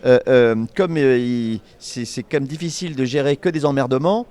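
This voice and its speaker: Emphatic, halting male panel speaker. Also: mid-range voice, a little mellow. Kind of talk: spontaneous speech at a public debate.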